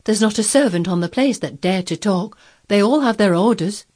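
A woman's voice: mid-range voice, expressive, normal speed, a little mellow, slightly rough, pitched above the performer's own voice. Woman reader as a flustered, deferential woman servant. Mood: panicked. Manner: anxious, deferential. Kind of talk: storybook character voice.

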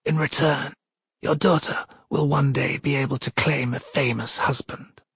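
Man voicing a cold, imperious goddess in measured, cutting tones. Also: medium pitch, fairly even intonation, a bit bright, husky, dry recording, clean studio sound, pitched above the performer's own voice, heavily acted. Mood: cold command. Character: cold, imperious sea goddess, menacing mother.

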